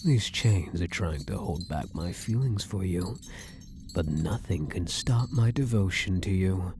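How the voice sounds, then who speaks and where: A man's voice: deep voice, animated, background noise. A man voicing a possessive, coy elf prisoner in an intimate ASMR roleplay, teasing and devoted, sometimes dropping toward a whisper. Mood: obsessive, adoring. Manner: teasing, slow, lilting delivery dropping into whispers.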